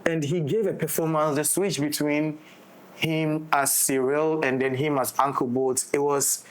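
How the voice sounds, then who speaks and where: A man's voice: a little high, fairly even intonation, measured pace, poor audio. A man talking reflectively as a director in a casual roundtable, often repeating and rephrasing his phrases. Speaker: audio drama director.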